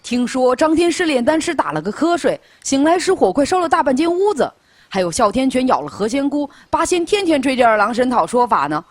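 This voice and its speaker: A woman's voice: high-pitched, very animated, a little fast, dry recording, studio quality. A woman playing a chatty, eager young servant boy in a Chinese audio drama, gossipy and deferential to the master. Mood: gleeful gossip.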